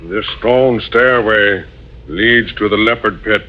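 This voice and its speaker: Sinister male pit guard, old radio adventure: low, drawn-out, ominous commands, muffled echoey recording. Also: a little hiss or hum. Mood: cold command. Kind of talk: 1940s radio adventure acting.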